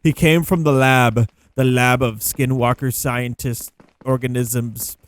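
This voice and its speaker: A man's voice: mid-range voice, steady tone, slow pace. A man hosting a gaming video with casual, rambling commentary, playful and full of informal slang. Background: background music.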